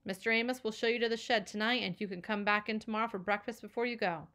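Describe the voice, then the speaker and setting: A haughty foster mother scolds in stiff, pompous diction, as a woman reader puts her on. Her voice rides higher and louder than the narration, with a medium pitch underneath. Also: steady tone, fast talker. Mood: scolding. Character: stern haughty middle-aged foster mother.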